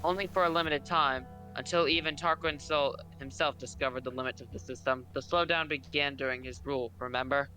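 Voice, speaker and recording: A man voicing an argumentative free-market minister in a fan reading of a political game, formal but slightly halting. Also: medium pitch, fairly even intonation, bright, forceful tone, lightly acted, low-quality recording. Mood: argumentative, insistent. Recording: music behind the voice.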